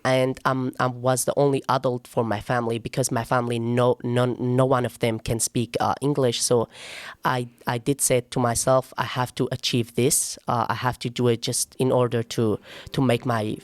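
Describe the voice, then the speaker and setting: A man's voice: mid-range voice, flat delivery, normal speed, no room sound. A nineteen-year-old man from northern Iraq whose first language is Kurdish, answering interview questions hesitantly with many fillers and restarts. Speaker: guest, university student.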